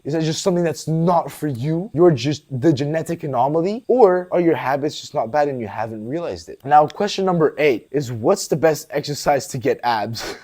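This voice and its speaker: A man's voice: medium pitch, a little mellow. A man, a fitness coach answering viewer questions in a blunt, casual way, swearing and calling the viewer bro. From Portugal. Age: 20s. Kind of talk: casual Q&A vlog.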